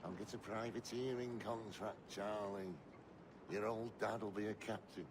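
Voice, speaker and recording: An actor playing a fierce, foul-mouthed pirate captain who is gruff and mocking and often shouts. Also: male voice, lowish voice, fairly even intonation, unhurried, noisy background, close to an everyday voice. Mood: grim, gruff. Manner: gruff, snarling and mocking, bursts into furious shouts. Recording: game score under the voice.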